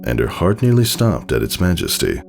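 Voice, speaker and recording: Low, creaky man narrating a story. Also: very animated, normal speed. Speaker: fan fiction narrator. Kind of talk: dramatic scripted story narration. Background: music.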